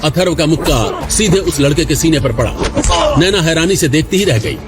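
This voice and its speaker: A man's voice: on the high side, expressive, moderate pace, over music. A man narrating a scripted audio drama in a dramatic storytelling style.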